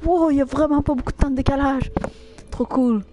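High-voiced Quebec French female game streamer. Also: fairly even intonation, a little fast, dark tone, faint music behind. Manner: slangy, crude teasing. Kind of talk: casual chat while livestreaming a game.

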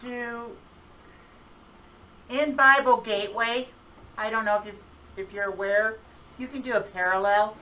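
A woman in the audience speaks up casually and chattily, a little self-effacing. Her voice is bright, but it sounds far from the mic, with faint noise behind it. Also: a little high, steady tone, measured pace, pauses often. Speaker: class member. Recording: distant voice with faint room noise.

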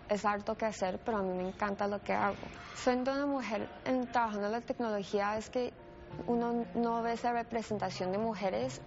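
A woman speaks eagerly and proudly. Music plays clearly under her voice on a slightly dull recording. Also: medium pitch. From Uruguay. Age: 20s. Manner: enthusiastic, proud. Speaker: media specialist. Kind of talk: documentary interview.

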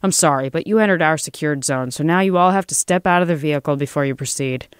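A man's voice: fairly high pitch, fast talker, clean tone, no echo, studio quality, close to an everyday voice. A man voicing a stern older male police officer, firm and official, in a thriller story.

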